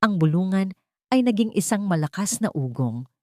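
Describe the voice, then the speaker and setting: A woman's voice: medium pitch, sing-song, moderate pace, dark tone, no room sound, studio quality. A woman narrating a scripted audio drama in the first person, in a dramatic storytelling style.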